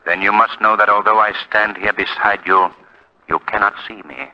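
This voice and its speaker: An actor playing an invisible, mysterious crime-fighter with deliberate, formal, menacing courtesy in 1940s radio style. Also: male voice, fairly low pitch, fairly even intonation, close to an everyday voice. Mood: mocking, menacing. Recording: dull 1940 radio recording.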